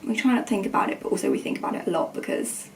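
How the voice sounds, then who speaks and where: A young woman with a croaky voice, chatting informally and rambling in a self-deprecating way as a student vlogger. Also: mid-range voice, sing-song, racing, a little hiss or hum, rough recording. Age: young adult. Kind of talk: casual chat to camera.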